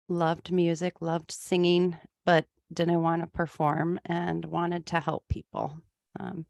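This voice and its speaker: Gravelly low-voiced woman from Iowa. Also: no echo, studio quality. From Iowa, USA. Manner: easygoing, frequent ums, light laughs. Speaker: music therapist, guest. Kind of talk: conversational interview answers.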